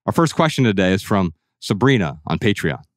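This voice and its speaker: A man's voice: fairly low pitch, very animated, a little fast, no echo, studio quality. A man hosting a podcast, talking in an earnest, reflective way and sharing anecdotes. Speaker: podcast host. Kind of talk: casual podcast conversation.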